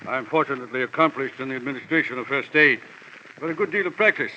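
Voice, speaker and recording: An actor playing a corpulent, pompous crime boss with florid, elaborately polite menace in an old radio drama. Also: male voice, medium pitch, quick pace, sharp tone. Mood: smooth menace. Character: corpulent, genteel, verbose, menacing English-educated crime boss. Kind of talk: 1940s radio drama acting. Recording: noisy, muffled old radio recording.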